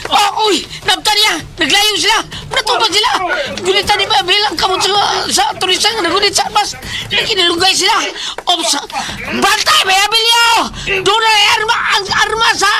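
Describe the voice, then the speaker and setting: A performer in a Bisaya radio drama playing an excitable onlooker, frantic and stammering as words get repeated. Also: extremely high pitch, normal speed, few pauses, raised voice. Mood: frantic alarm. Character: excitable onlooker shouting out a fight blow by blow.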